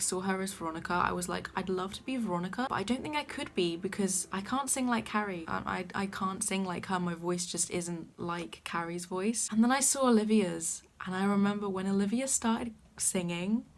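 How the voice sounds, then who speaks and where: A young woman with a soft voice, gushing enthusiastically about a show she loved in a casual vlog. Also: mid-range voice, a little fast, few pauses. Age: young adult. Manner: gushing, enthusiastic fan talk. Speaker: vlogger. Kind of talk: casual vlog chat to camera. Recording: faint background music.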